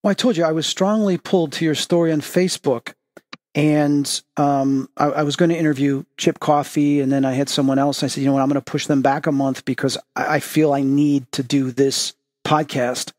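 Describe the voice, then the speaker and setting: A man's voice: a little high, steady tone, fairly quick, darkish tone. A man hosting a podcast, interviewing a guest with encouraging, curious questions. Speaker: podcast host.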